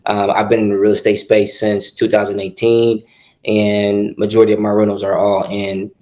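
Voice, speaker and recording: A man from the South Side of Chicago, a real estate investor talking as a podcast guest in a measured way, often repeating words. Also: lowish voice, completely flat delivery, darkish tone. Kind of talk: casual podcast interview.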